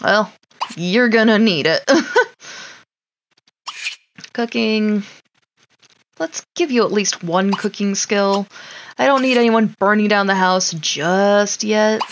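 A woman's voice: medium pitch, slow pace, long pauses, muffled recording, a little hiss or hum. A woman giving casual, wry gameplay commentary, talking to her game characters as she plays. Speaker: gaming streamer.

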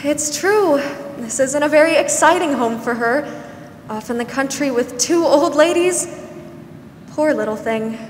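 Girl acting sweet patient older spinster, 1940s radio drama, high voice, roomy stage sound. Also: a little slow. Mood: chatty. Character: kindly, patient older country spinster soothing her sister. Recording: roomy stage hall, faint noise.

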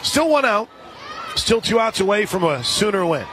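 An American man doing live radio play-by-play commentary of a softball game. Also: high-pitched, big pitch swings, normal speed. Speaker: radio play-by-play sports announcer. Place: outdoor stadium broadcast position. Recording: crowd noise and music behind commentary. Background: stadium crowd and music.

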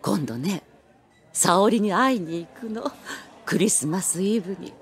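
An actress playing a gentle, refined older lady in an anime audio drama, speaking in a measured, genteel, reflective way. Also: medium pitch, animated.

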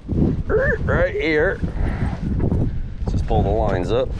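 A man's voice: mid-range voice, huge pitch swings, very slow, heavy background noise. A man instructing a paramotor class outdoors on a sandy beach, explaining gear emphatically with punchy asides, recorded on a head-mounted camera. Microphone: head-mounted camera mic.

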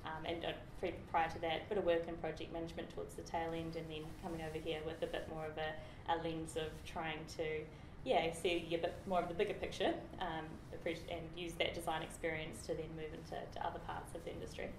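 A woman's voice: deep voice, fast, few pauses. A young woman from New Zealand with a New Zealand accent, chatting casually as an engineer being interviewed, with frequent fillers and restarts. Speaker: project engineer guest. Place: office meeting room with large windows. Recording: roomy office with steady background noise.

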